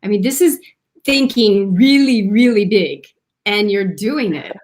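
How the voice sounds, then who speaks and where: A woman's voice: medium pitch, unhurried, darkish tone, slight background noise. A woman hosting an interview, asking chatty, enthusiastic questions. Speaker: interview host. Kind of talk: conversational interview.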